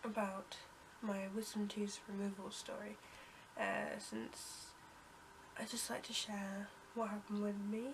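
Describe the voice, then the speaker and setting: A woman's voice: medium pitch, little pitch movement, unhurried, background noise. A young woman with a cold and a blocked nose, telling a story to camera in a rambling, casual way with many ums and restarts. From United Kingdom. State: congested with a cold. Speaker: vlogger.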